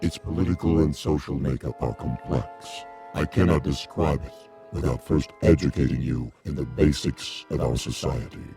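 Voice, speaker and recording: An actor playing a courteous giant alien emissary in a radio drama, speaking formally with measured, polite detachment. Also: male voice, very deep voice, dark tone, soft background music.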